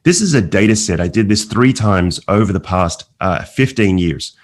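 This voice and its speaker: A man's voice: fairly low pitch, moderate pace. A man with an Australian accent giving a conference presentation with slides as a guest speaker over a video call. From Malaysia, later Australia. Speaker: investment fund founder and guest speaker. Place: remote video call from New York.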